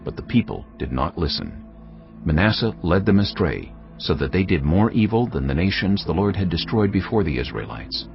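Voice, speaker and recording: A man narrating scripture in a measured, solemn storytelling voice. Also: sits low, sing-song, gravelly. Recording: dull lo-fi audio over faint music.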